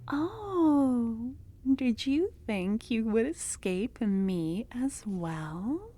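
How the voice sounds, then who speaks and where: A woman voicing an obsessive, possessive female cultist lover in an ASMR roleplay, talking softly and slipping into whispers, tender yet menacing. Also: on the high side, very animated, drawn-out words, full character voice. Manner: soft-spoken, drifting into whispers.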